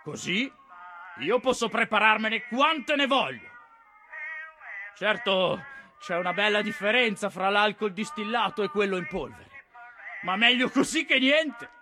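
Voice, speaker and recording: A man playing a hard-drinking, bombastic army officer, loud and theatrical, in a comic stage drama. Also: high voice, animated, a little slow, soft background music, exaggerated voice. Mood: excited, bombastic.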